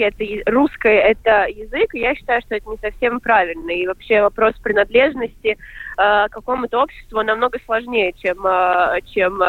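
A young woman from Latvia, a filmmaker speaking as a guest in a panel discussion, answering thoughtfully with frequent pauses and fillers. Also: medium pitch, no echo. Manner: thoughtful, hesitant, many fillers. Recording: dry, tinny phone-like line.

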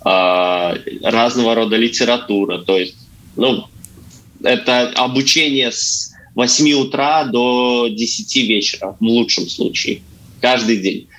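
A young man answering interview questions conversationally, often halting as he searches for words. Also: mid-range voice, a bit bright, rough recording. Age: young adult. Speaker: guest, young theatre artist.

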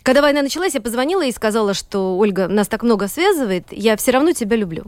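A woman's voice: fairly high pitch, expressive, fast, no room sound. A middle-aged woman from Saint Petersburg, a teacher and economist, talking as a guest in an interview. She tells her story earnestly and with emphasis, with frequent hesitations. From Saint Petersburg, Russia. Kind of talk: studio interview conversation.

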